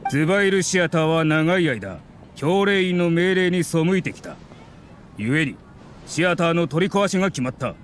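男性が演じる冷たく高圧的な役人. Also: male voice, a little high, lively intonation, normal speed, slight background noise, natural voice. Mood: commanding. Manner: curt, stern, condescending. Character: cold, officious male academy official in his thirties.

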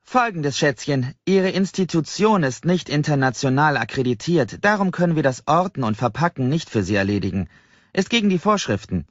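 A man voicing a chirpy, motherly middle-aged woman clerk in an audiobook, folksy and brisk, fond of pet names. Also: on the high side, animated, dry recording, dull audio, voice pushed up. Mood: apologetic, firm. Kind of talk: acted audiobook dialogue.

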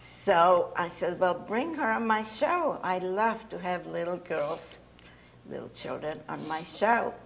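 A woman's voice: lowish voice, expressive, slow, gravelly. An older woman, a Hungarian native speaker, hosting a casual studio talk show and chatting with guests, laughing often, on a lapel mic. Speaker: host. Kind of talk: casual interview chat. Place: TV studio set.

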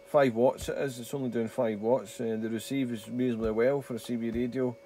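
A man's voice: mid-range voice, fairly even intonation, a little fast, dark tone. A man chatting casually and a little hesitantly, unscripted, as he demonstrates radio equipment as a hobbyist. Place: small indoor radio desk. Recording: very noisy, radio static and hiss. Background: CB radio noise.

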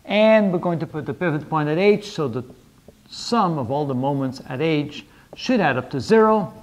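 A middle-aged man with a slightly high voice teaches as a lecturer. He works through a problem aloud at a whiteboard, methodically laying out each step. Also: animated, moderate pace, lo-fi sound. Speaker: engineering lecturer. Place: indoors, in front of a whiteboard.

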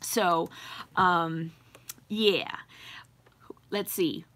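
A woman in her forties chats to her viewers from a home craft room, her voice clear and bright. She rambles along, full of ums and quick giggles, in an easy, unscripted way. The sound comes from a desk webcam. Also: on the low side, steady tone. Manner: rambling, chatty, many fillers and giggles. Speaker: YouTube livestream host. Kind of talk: casual live-stream chat with viewers.

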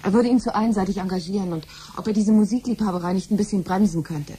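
Actress as mellow, pragmatic scheming wife. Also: female voice, mid-range voice, fast, a little hiss or hum, lightly acted. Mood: matter-of-fact. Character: pragmatic, conspiring middle-aged mother and wife. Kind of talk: 1970s German science-fiction radio play acting.

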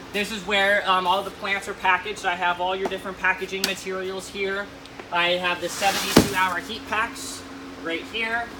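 A man's voice: high-pitched, background noise. A young man giving a casual walkthrough tour of his plant shop's warehouse and grow room, upbeat and rambling with frequent ums, filmed on a handheld camera. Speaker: plant shop owner.

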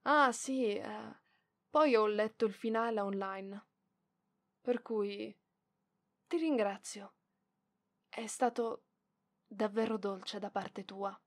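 A woman's voice: mid-range voice, fairly even intonation, slow pace, pauses often. A woman voicing a proud young librarian in an ASMR roleplay, defensive and bashful, with hesitant stammering. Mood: bashful, softened. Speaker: voice actress.

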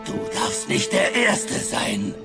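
A man's voice: takes it slowly, loud music behind the voice, close to an everyday voice. An actor playing a stern, boastful middle-aged Viking father in a cartoon audio drama. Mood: stern boasting.

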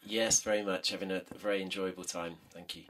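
English man, 40s, low voice, polite visiting teacher, handheld mic in a classroom. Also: fairly even intonation, a little fast, a bit bright, rough recording. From England. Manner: polite, self-deprecating, explains simply. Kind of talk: answering pupils' questions at a panel. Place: school classroom, indoors.

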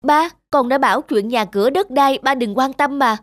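A woman voicing an anxious grown son who talks fast and indignantly, in a cartoon. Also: high-pitched, no echo, studio quality, lightly acted. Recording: music bed under voice.

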